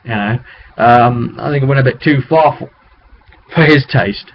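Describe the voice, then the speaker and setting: Guy game master chatting thru his session, mid-pitched voice, muffled webcam sound w/ background noise. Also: moderate pace. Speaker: game master recounting a tabletop roleplay session. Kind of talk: casual rambling session recap to camera. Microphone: webcam microphone. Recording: dull webcam audio with clear background noise.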